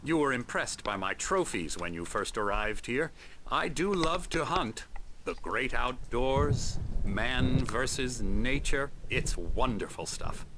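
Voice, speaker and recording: An actor playing a smug, self-important psychiatrist in a video game cutscene, soothing and condescending in measured phrases. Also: male voice, medium pitch, expressive. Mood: smug, genial. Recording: noisy game stream capture.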